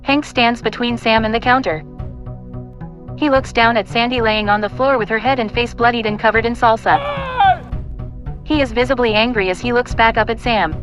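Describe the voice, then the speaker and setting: A woman narrates an audio play, reading out scripted stage directions. Her voice is on the high side, with light music underneath. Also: steady tone, moderate pace.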